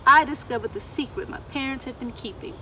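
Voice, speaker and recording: A middle-aged woman reading a picture book aloud to children, expressive and deliberate, often putting questions to her listeners. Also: high-pitched. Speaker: children's storyteller. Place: outdoors in a wooded garden. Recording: outdoor, distant mic, ambient insect noise. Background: insects and outdoor ambience.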